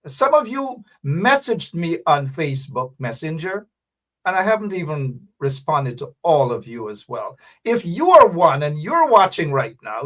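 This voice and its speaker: A man's voice: high-pitched, a bit bright. A man hosting a livestream show, chatting casually and rambling with frequent asides. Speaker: host.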